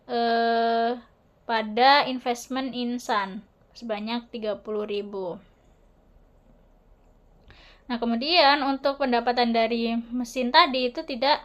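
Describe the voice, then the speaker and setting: A young adult woman giving an explanatory class presentation as a student, with a halting, hesitant delivery. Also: on the high side.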